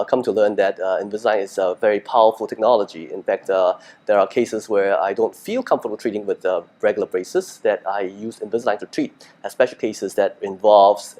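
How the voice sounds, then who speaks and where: A middle-aged man from Singapore, a dentist, answering interview questions in a halting way, with many fillers and restarts. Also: deep voice, darkish tone.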